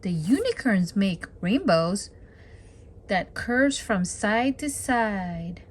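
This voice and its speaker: A woman's voice: medium pitch, very animated, slow pace, a little hiss or hum. A woman reading a picture book aloud to children as a storytime host, talking to them directly in a sing-song way.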